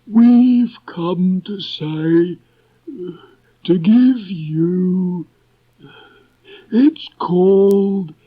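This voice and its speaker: A man voicing a gloomy, flustered storybook donkey who grumbles haltingly in a strained, drawn-out voice. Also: sits high, voice pushed up, heavily acted. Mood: halting, awkward. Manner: halting, ponderous, complaining. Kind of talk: storybook character reading. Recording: dull restored cassette tape.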